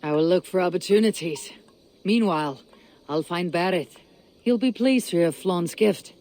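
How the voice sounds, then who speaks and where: An actor playing a blunt, tough Viking raider from Norway with a terse, dry manner. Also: male voice, high-pitched, moderate pace, a little mellow, close to an everyday voice.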